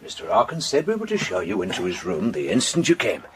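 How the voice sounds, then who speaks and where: A man's voice: low voice, wildly swooping pitch, fast, a crowd in the background, heavily acted. An actor playing a fussy, officious office clerk in a period audio drama, obsequious to his betters. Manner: bossy to juniors, obsequious to superiors.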